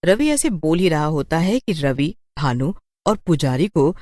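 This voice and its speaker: A woman's voice: deep voice, very animated, a little slow, darkish tone, no room sound, studio quality. A woman narrating a scripted horror story for a cartoon.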